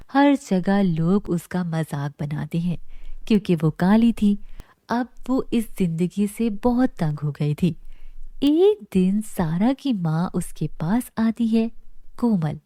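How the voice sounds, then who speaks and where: A woman's voice: medium pitch, warm tone, no echo, studio quality. A woman storyteller reading scripted narration for a children's story.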